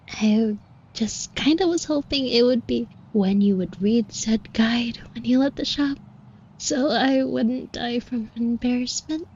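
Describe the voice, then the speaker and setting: A woman playing a shy, flustered florist with a crush in an audio roleplay, speaking softly and breathily in a hesitant, apologetic way. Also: medium pitch, dull audio, a little hiss or hum, lightly acted. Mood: tender, bashful. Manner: hesitant, stammering, rambling, apologetic. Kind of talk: intimate roleplay audio acting.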